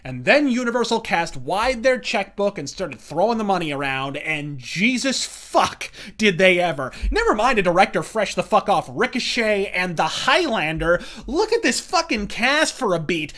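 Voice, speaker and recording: A man's voice: high-pitched, sing-song, normal speed, hardly any pauses, pushed and bright. A man hosting a scripted review with florid, profane and emphatic delivery, like a countdown rant. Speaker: host of a pop culture review show.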